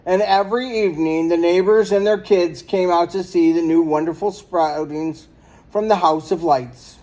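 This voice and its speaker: A man's voice: high-pitched, fairly even intonation, moderate pace, a little mellow, poor audio. A man reading a children's picture book aloud as a storyteller.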